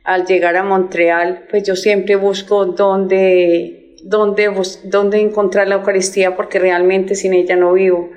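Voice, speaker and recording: Woman host, warmly effusive, slow and halting delivery. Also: medium pitch, poor audio. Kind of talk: religious talk show interview.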